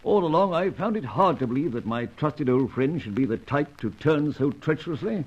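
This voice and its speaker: A dull old radio drama recording of an elderly British gentleman. The voice is husky and rises and falls excitably as he blusters and repeats himself in indignant confusion. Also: on the high side, moderate pace. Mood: perplexed. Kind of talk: old-time radio serial acting.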